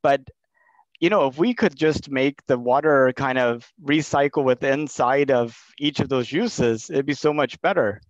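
A man's voice: medium pitch, measured pace, no room sound. A man in his thirties from Texas, hosting a video call and asking long, rambling questions full of fillers. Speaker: host. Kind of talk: casual video call interview. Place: small room indoors. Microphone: headset. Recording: dull lo-fi call audio.

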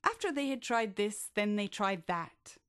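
Woman storyteller, slow pace, dry close sound, expressive, rhyming children's tale. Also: mid-range voice, no room sound. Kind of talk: children's bedtime story narration in rhyme.

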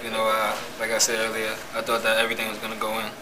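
A man's voice: lowish voice, monotone, racing. A young man, a college basketball player, giving hesitant, modest answers at a postgame press conference. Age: young adult. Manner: hesitant, modest replies with fillers. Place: press room in front of a team backdrop. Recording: noisy press room audio.

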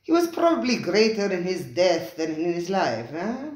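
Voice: mid-range voice, sing-song, moderate pace, darkish tone, voice pushed up, lightly acted. A reader voicing Spiro, a vehement, theatrical male restaurant owner who talks in a grandly emphatic way and tags his questions with "eh?". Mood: grand, insistent. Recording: roomy, echoey recording.

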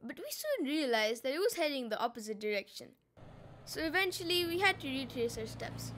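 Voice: sits high, sing-song, brisk, a bit bright, a little hiss or hum. A young-sounding female vlog host, explaining and instructing in a casual travel-vlog style.

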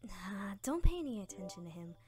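A woman's voice: mid-range voice, very animated, brisk, lightly acted. A woman voicing a casual, easygoing young cartoon pony princess, with music behind. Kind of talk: animated cartoon dialogue.

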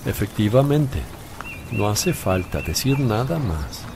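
An actor playing a calm, wise male teacher with gentle authority in a solemn religious radio play, speaking in a measured way. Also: lowish voice, creaky, background noise, exaggerated voice. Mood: solemn, firm. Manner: measured, deliberate. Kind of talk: slow, solemn radio drama acting.

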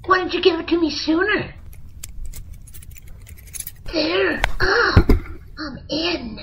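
Impulsive male monkey kid, very high and squeaky, played by a woman; muffled lo-fi radio-drama sound. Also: slow pace, background noise. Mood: childish. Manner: impulsive, whiny, easily distracted. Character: childish excitable young male monkey. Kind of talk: amateur old-time radio parody. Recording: muffled lo-fi audio with music.

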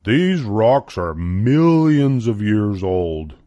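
A man voicing a kindly, patient male schoolteacher in a storybook, in a measured, explaining way. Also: fairly low pitch, sing-song, warm tone, no echo, dull audio, full character voice. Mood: instructive.

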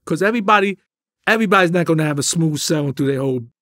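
A middle-aged man with a New York accent talks in an emphatic, streetwise way, packing his speech with slang. His voice sits a little higher than most men's, and he gestures as he tells his stories as an interview guest. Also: expressive, rapid-fire, fairly bright tone. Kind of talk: animated street storytelling. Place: indoor studio with a drum kit.

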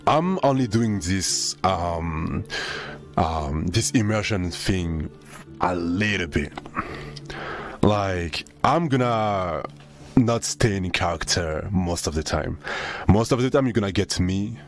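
A man's voice: low voice, lively intonation, slow. A young man from Senegal, raised in France, chatting casually with his stream viewers in a laid-back, slangy way, swearing freely. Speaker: VTuber streamer.

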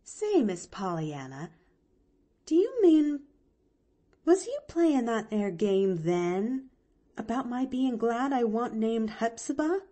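Woman acting young housemaid, folksy, blunt, grumbling yet good-hearted, expressive swooping pitch, storybook character. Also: takes it slowly, exaggerated voice. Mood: amazed, amused. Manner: folksy, rustic, blunt phrasing. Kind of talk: expressive audiobook character reading.

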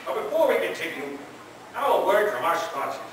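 A man's voice: high voice, big pitch swings, brisk. A man announcing in the style of an old-time radio show. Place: large convention meeting room, on stage. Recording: echoey, distant, noisy convention hall.

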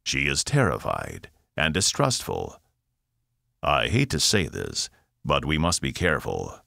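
Narrator as a measured alien envoy: very deep, gravelly, slow and formal. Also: male voice, fairly bright tone, dry recording, studio quality, full character voice. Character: solemn male alien in exoskeleton, formal.

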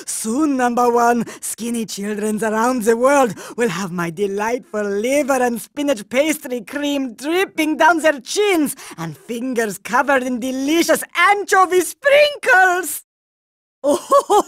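High-pitched villainous cartoon granny. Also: female voice, fairly bright tone, no room sound, studio quality, full character voice. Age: old. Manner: gloating, mock-sweet, booming exclamations with hearty ho-ho chuckles. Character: fat, doting yet menacing villainous cartoon granny obsessed with overfeeding children. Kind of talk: theatrical cartoon villain voice acting for a video game.

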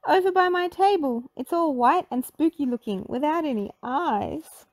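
A woman doing a shrill, fussy frightened lady. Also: lively intonation, moderate pace, darkish tone, no echo. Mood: terrified. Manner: exclaiming, dramatic.

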